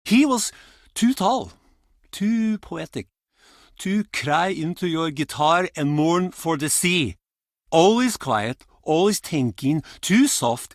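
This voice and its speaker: An actor playing a gruff, cantankerous old fisherman in a video game, with a blustering, theatrical way of telling tall tales. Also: high-pitched, fairly bright tone, no echo, clean studio sound, heavily acted. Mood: grumpy, dismissive. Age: older. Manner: blustering, curt, theatrical storytelling. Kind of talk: video game character acting.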